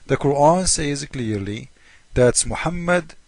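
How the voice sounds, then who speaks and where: High-voiced man, mocking non-native English polemicist. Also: expressive, measured pace, darkish tone, dry recording. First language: not English. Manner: mocking, repetitive, theatrical, acts out both sides of arguments. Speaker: host of a religious polemic video. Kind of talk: informal polemical commentary on scripture.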